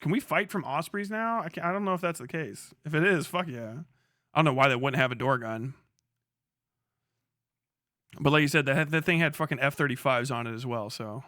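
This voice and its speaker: A man's voice: on the high side, brisk, bright, forceful tone, no room sound, clean studio sound. A man giving casual, off-the-cuff livestream commentary as a military veteran, slangy and full of swearing. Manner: profane, slangy.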